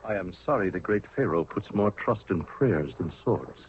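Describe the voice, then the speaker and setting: An actor playing a grave, defiant military commander of ancient Egypt in a 1970s radio drama. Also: male voice, sits low, animated, normal speed. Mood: grim, resolute. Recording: tinny old radio recording, faint noise.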